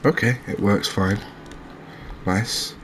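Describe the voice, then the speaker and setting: Low, even-voiced man doing cozy game commentary. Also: takes it slowly, a little hiss or hum. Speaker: gaming video host. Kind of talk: soft-spoken ASMR game commentary.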